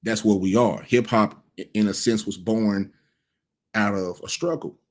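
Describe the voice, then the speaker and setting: A man's voice: fairly low pitch, fairly even intonation, unhurried, a little mellow. A man in his thirties giving casual cultural commentary, blunt and profane, rambling with frequent restarts. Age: 30s.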